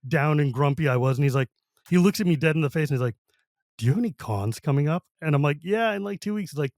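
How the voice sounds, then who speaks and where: A man's voice: on the high side, animated, fast, darkish tone, no room sound, studio quality. A middle-aged man hosting a podcast in casual chat, rambling, restarting and laughing often. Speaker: podcast host.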